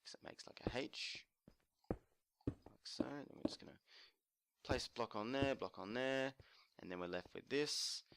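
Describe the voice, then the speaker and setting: A man casually narrating a building tutorial while playing, murmuring to himself and correcting himself as he goes, his voice a little croaky. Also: medium pitch, measured pace, pauses often, a bit bright, a little hiss or hum. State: slightly croaky from screaming at a concert. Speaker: let's play host.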